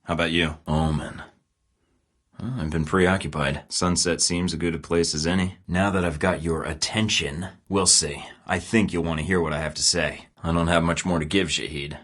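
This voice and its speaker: A cocky young secret agent from a video game, tossing off dry sarcastic one-liners. The voice sits low, deep in the chest, and the read is close and dead dry with no room around it. He sounds unbothered, a wisecracking spy. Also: fairly even intonation, moderate pace, a bit bright, no room sound. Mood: wry. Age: young adult. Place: recording studio booth. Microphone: close studio mic.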